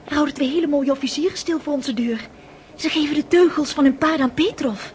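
Actress as high-pitched, girlish excitable young countess. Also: brisk, natural voice. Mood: excited. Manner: gushing, impulsive, girlish exclamations. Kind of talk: radio drama acting. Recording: noisy old radio play recording.

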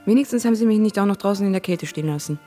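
An actress playing a bemused captive radio presenter, hesitant and dryly incredulous, in a radio drama. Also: female voice, medium pitch, very fast, warm tone, faint music behind, lightly acted. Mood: sceptical, complaining.

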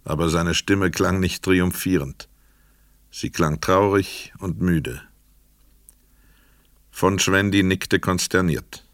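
Crime drama narrator: man, very low voice, close dry sound, measured and sober literary reading. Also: dry recording. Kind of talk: literary scripted narration of a crime radio play.

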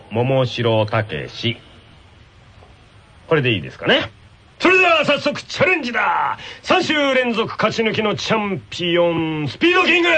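A man playing an excitable radio game-show announcer, punchy, with drawn-out dramatic emphasis. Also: high-pitched, breathy edge, exaggerated voice. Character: excitable game-show announcer running a tongue-twister championship.

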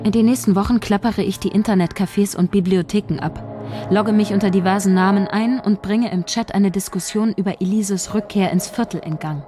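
An actress playing a calculating female writer, narrating in a measured, detached, literary way. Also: medium pitch, natural voice. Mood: coolly scheming. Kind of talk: radio drama first-person narration. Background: music.

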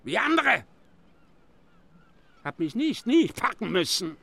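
Rustic grumbling fiddler, wildly animated. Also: male voice, high-pitched, takes it slowly, creaky, slightly rough, no room sound, full character voice. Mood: bitter, indignant. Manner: folksy, clipped phrases, mocking. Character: rustic wandering village fiddler, grumbling, sly, bitter. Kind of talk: German radio drama acting.

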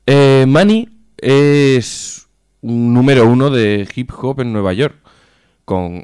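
A man's voice: fairly low pitch, darkish tone, smooth, dry recording, studio quality. A man with an accent from Spain co-hosts a casual radio chat show in an informal, jokey way, swearing freely. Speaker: co-host of a music and chat show.

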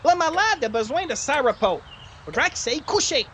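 A man playing an animated, exclamatory buddy. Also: extremely high pitch, normal speed, slight background noise, full character voice. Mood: bossy, caring. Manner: exclamatory, mixing English into broken French. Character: exuberant anglophone buddy with mangled French. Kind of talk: old-time radio comedy acting.

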